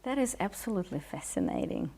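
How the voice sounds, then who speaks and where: A woman's voice: lowish voice, huge pitch swings, fast talker, creaky, mellow, slight background noise. A woman hosting an interview in a bar, asking polished, upbeat questions. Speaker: host. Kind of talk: interviewing a guest.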